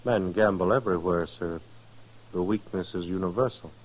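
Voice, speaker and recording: Casting: dignified frontier gambler, lowish voice, precise gentlemanly diction, proud, vintage radio western. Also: male voice, moderate pace, poor audio. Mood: smooth, formal. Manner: formal, precise, touchy about honor. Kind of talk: 1950s radio western acting.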